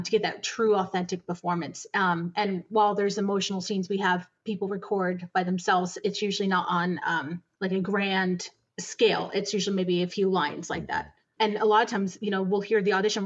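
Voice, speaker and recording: A woman's voice: mid-range voice, husky, muffled recording. A woman who produces, directs and writes audio drama, speaking in a thoughtful, modest, slightly hesitant way in a casual interview.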